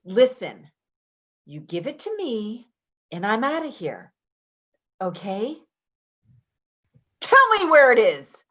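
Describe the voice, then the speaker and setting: A woman's voice: fairly high pitch, huge pitch swings, takes it slowly, long pauses, sharp tone, highs cut off, heavily acted. A woman acting in a play reading as a volatile, foul-mouthed woman who makes confrontational, threatening demands. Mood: frantic, threatening.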